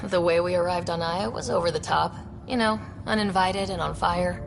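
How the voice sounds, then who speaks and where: A woman's voice: fairly low pitch, steady tone, normal speed, vocal fry, noisy background. A woman playing an upbeat, hopeful young explorer who leads a space crew in a video game. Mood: sincere, light. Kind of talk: video game cutscene acting. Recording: music behind the voice.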